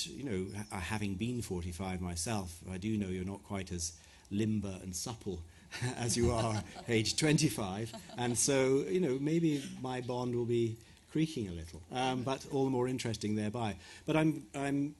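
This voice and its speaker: Hesitant, low-voiced middle-aged man. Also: darkish tone, a little hiss or hum. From West Africa (Ghana, Nigeria). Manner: hesitant, thoughtful, many restarts. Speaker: author answering audience questions. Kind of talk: live Q&A at a literary talk. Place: event venue before an audience.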